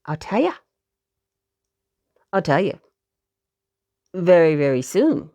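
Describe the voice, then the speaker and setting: A woman's voice: fairly low pitch, very animated, takes it slowly, mellow, dry recording, muffled recording. A woman narrating a children's storybook expressively, speaking directly to young listeners with dramatic emphasis.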